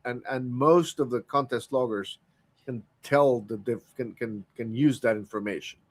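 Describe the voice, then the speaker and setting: A man explains how contest logging works, speaking in a relaxed, conversational way. He often stumbles over the opening words of a sentence. His voice has a medium pitch and the sound is dry. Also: moderate pace, no echo. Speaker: ham radio operator. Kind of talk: casual technical chat.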